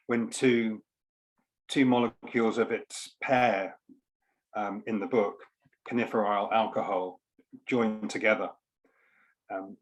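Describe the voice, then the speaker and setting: A man with a mid-pitched voice and a slow pace, speaking as a poet at an online literary event. His delivery is measured and deliberate, broken into short phrases with frequent pauses. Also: poor audio. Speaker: poet and co-author at a book launch. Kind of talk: informal explanation and poetry reading. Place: online event, on a video call.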